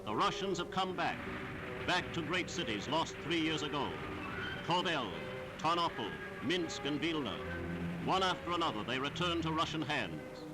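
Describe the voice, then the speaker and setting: A man's voice: on the high side, expressive, normal speed, rough recording. A man narrating a scripted 1940s wartime newsreel in a solemn, declamatory manner on a vintage soundtrack. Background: music.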